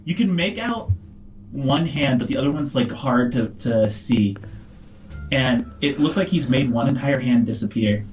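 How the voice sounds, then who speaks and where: A man with a medium-pitched voice acts as game master for a sci-fi tabletop game. He improvises his scene descriptions as he goes, with music playing softly underneath. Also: animated, unhurried, poor audio.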